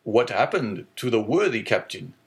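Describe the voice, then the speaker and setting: A man's voice: mid-range voice, sing-song, moderate pace. A man voicing a middle-aged ship owner who questions eagerly and speaks pragmatically, in an audiobook reading. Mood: anxious. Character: middle-aged male ship owner, anxious then consoling.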